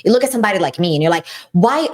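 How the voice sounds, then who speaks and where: A woman's voice: lively intonation, rapid-fire. A woman from Brazil with a Brazilian Portuguese accent, co-hosting a casual podcast and speaking in an earnest, emphatic way. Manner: earnest and emphatic, swinging high in pitch. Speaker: co-host. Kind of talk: casual conversation.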